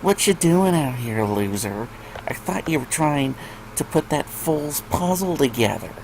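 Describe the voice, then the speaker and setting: A man voicing a bratty older sister pony who teases with deadpan sarcasm in a story reading. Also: a little high, unhurried, vocal fry, background noise, voice pushed up. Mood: deadpan teasing. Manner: sneering, sarcastic, deadpan teasing. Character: mocking, bratty older sister unicorn filly.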